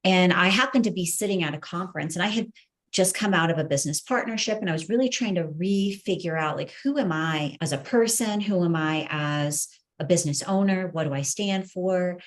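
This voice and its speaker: A middle-aged woman with a slightly low voice talks as an interview guest. She is earnest and thoughtful, reflecting on her own experience and ideas in a conversational way. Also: brisk. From grew up in the Middle East. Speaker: podcast guest, entrepreneur and coach. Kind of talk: reflective personal storytelling in an interview.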